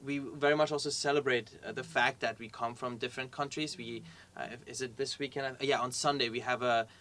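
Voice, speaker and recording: The bright-toned young man from Iran on a student panel, working out his answer as he talks to prospective applicants. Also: mid-range voice, steady tone, a little fast, a little hiss or hum. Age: young adult. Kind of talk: conversational Q&A answers.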